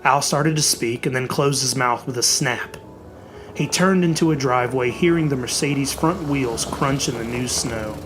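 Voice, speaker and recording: A narrator reading a horror story in a theatrical, dramatic way, with emphatic, drawn-out words. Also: mid-range voice, over music.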